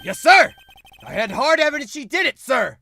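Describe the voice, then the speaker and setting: A man's voice: very high-pitched, very animated, normal speed, pushed and bright, full character voice. A man playing an eager, blustery police detective in an amateur courtroom game dub, deferential and easily flustered, with music behind him. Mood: eager, barking. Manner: barking, deferential, stammering when challenged.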